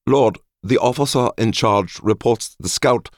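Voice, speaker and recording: A man's voice: medium pitch, unhurried, vocal fry, dry recording, clean studio sound, voice pushed up. A man voicing an eager, deferential subordinate in an audiobook, formally reporting to a lord. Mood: embarrassed. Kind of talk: audiobook character voice.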